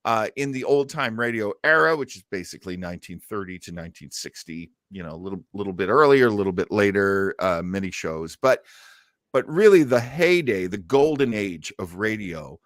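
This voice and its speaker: A man's voice: lowish voice, expressive, normal speed, fairly bright tone, dry recording, clean studio sound. A man hosting an old-time radio show with enthusiastic, showman-like delivery and casual chat.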